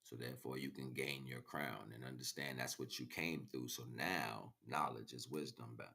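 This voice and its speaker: A man's voice: very deep voice, steady tone, moderate pace, vocal fry, bright, forceful tone, husky. An American man livestreaming a freestyle spiritual monologue in a rhythmic, rhyming, slangy way. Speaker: livestream host.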